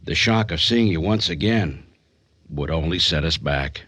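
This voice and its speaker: An actor playing a sober, authoritative doctor in a radio thriller, grave and explanatory. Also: male voice, rumbling low, measured pace, sharp tone, husky, no room sound, clean studio sound, exaggerated voice.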